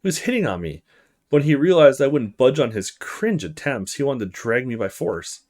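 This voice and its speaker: A man's voice: mid-range voice, big pitch swings, normal speed, a little mellow. A man voicing a feisty young female university student in a visual novel, blunt and sassy. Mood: annoyed. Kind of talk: visual novel character read-aloud.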